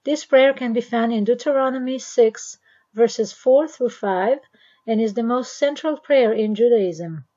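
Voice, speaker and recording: A woman from Israel with an Israeli accent and native Hebrew gives scripted language instruction, enunciating carefully and deliberately. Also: fairly high pitch, dark tone, highs cut off.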